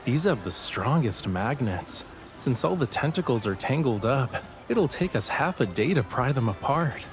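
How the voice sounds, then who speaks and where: Man voicing a teasing, lively young boyfriend. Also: mid-range voice, normal speed, lightly acted. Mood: affectionate, playful. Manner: teasing, playful, smug. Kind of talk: romantic game audio drama acting.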